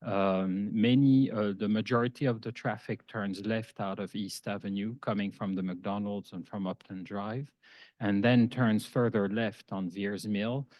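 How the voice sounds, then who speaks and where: A man with a low voice stands up at a public meeting to question the presenters. He speaks hesitantly and with concern, and his voice sounds dry and close. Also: fairly even intonation, measured pace, a little mellow, dry recording. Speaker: community member asking questions. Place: meeting room.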